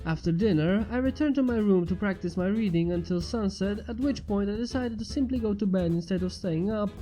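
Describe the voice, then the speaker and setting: A man narrates a story in the first person in a high, soft-toned voice. He reads from a script in a roomy, echoing space, with music underneath. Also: a little fast. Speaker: fan fiction narrator. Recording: roomy echo, reverb, music behind. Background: background music.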